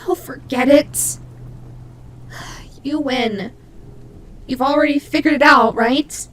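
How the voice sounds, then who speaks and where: Woman as a high-voiced, huffy tsundere demon bunny. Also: slow pace, a bit bright, slight background noise. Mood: grudging resignation. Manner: indignant, stammering, huffy. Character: cute tsundere female demon bunny, proud, flustered, grudging. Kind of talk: scripted audio roleplay acting.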